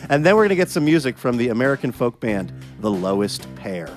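Male radio host, slightly hoarse, chatty, upbeat, faint music behind. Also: medium pitch, sing-song, a little fast. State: a bit hoarse from a cold. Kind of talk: casual radio hosting.

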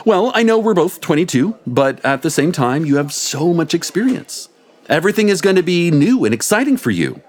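An earnest young man in his twenties, curious and hopeful, spoken by a man reading a story. His voice moves up and down with lively swings. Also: on the high side, moderate pace, voice pushed up. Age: 20s.

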